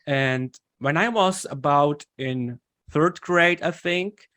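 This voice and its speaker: A young man with a Swiss German accent, a guest in an interview who explains his background haltingly, with pauses and restarts. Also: fairly high pitch, bright, forceful tone. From German-speaking Switzerland. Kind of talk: casual interview talk.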